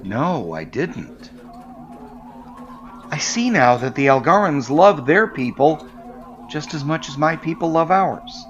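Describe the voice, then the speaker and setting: A man's voice: on the high side, very animated, soft background music. A man playing a wary, formal alien magistrate in a sci-fi audio drama, on dull-sounding audio. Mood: softening. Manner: formal, measured wording. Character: wary male alien magistrate, wounded but softening.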